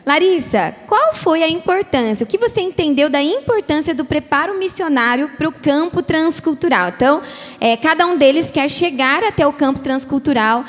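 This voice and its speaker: Lady running a church school panel, high voice, teacher-ish explaining, big echoey hall. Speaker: panel moderator for a missionary school. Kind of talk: explaining to an audience.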